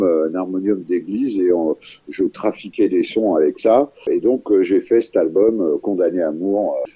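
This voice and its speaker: A man's voice: deep voice, dark tone. Older French man hesitating over a muffled phone line. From France. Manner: hesitant, many fillers and restarts. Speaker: singer-songwriter guest. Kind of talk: phone interview.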